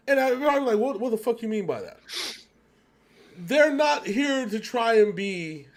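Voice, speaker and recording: A man hosting a casual video reaction, speaking in an emphatic, rambling way as he thinks aloud. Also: high voice. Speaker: reaction show host.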